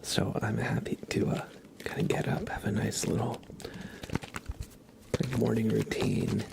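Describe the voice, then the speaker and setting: A man murmurs gently in a hushed, often whispered ASMR voice. His delivery is slow and drifting, with a crackly fry underneath. He chats casually, as a streamer does with viewers. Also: steady tone. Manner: soft, breathy whispering and low murmuring.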